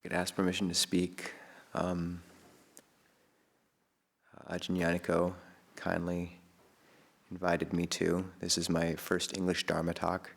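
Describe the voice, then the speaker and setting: A man, a Buddhist monk, giving an informal Dharma talk in a soft, hesitant way with many pauses and fillers. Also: deep voice, completely flat delivery, creaky. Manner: chants Pali homage at the start. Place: monastery meditation hall.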